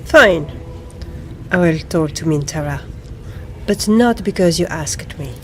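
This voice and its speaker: Woman gamer, fairly low voice, musing roleplay commentary, deliberate delivery, noticeable noise behind. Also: lively intonation. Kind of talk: in-character video game roleplay commentary.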